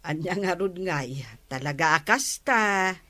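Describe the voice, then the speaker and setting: An actress playing a curious village neighbor asking questions about a quarrel, in a radio comedy drama. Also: female voice, fairly low pitch, expressive, unhurried, bright, forceful tone, dry recording. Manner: nosy, questioning.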